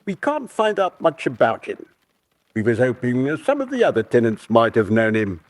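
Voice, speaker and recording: An actor playing a deferential, nosy police constable, folksy and probing, in an old-fashioned radio drama. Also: male voice, medium pitch, wildly swooping pitch, normal speed, no echo. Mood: folksy, insinuating.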